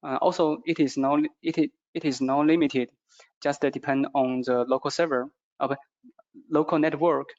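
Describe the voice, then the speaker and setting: A man with a non-native English accent gives a product training presentation, speaking haltingly and repeating phrases as he goes. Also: on the high side, steady tone, a little mellow. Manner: halting, repetitive phrasing with stumbles. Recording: dull, muffled lo-fi audio.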